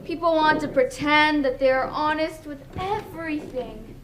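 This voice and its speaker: Woman playing high-pitched, sly inner demon. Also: moderate pace, noisy background, lightly acted. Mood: cold, knowing. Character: sly, taunting inner self haunting a young woman. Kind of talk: amateur stage drama acting.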